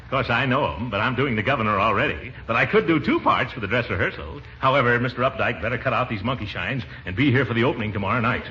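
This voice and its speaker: An actor playing a pompous, exasperated uncle who directs an amateur play, in blustery 1940s radio comedy style. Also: male voice, mid-range voice, expressive, fast, hardly any pauses, bright, forceful tone. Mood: flustered. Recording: muffled, hissy 1940s radio recording.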